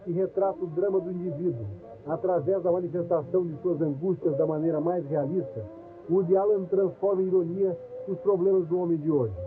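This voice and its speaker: A man's voice: sits high, fairly even intonation, fast talker, long pauses, breathy edge, faint music behind. A man with a Brazilian Portuguese accent, reading scripted TV narration in a formal announcing manner. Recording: lo-fi 1980s TV broadcast with phone-like band.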